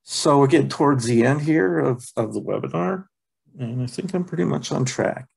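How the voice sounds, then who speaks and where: A man presenting a software training webinar over a video call, relaxed and halting, with many ums and uhs. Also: medium pitch, gravelly, breathy edge, poor audio. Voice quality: plain, unexciting voice. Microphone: computer mic on a video call.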